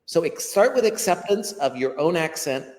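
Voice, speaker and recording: A man's voice: a little high, expressive, moderate pace, darkish tone. A middle-aged man with a Western American accent, a language teacher speaking earnestly as a guest over a video call, often restarting his sentences. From western United States. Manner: earnest, emphatic with frequent restarts. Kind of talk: live-stream interview. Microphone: webcam on a video call. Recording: long hall-like reverb.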